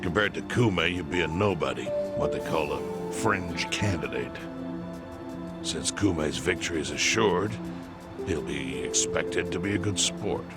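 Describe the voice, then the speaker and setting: An actor playing a calm, calculating older chairman in an English video game dub, gravelly and measured, laying things out with dry bluntness. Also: deep voice, over loud music. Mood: calm persuasion.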